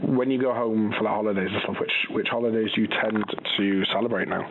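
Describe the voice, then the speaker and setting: A man's voice: on the low side, fairly even intonation, fast talker. A man around thirty interviewing a guest in an easygoing, casual way. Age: 30s. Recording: tinny phone line with crowd noise.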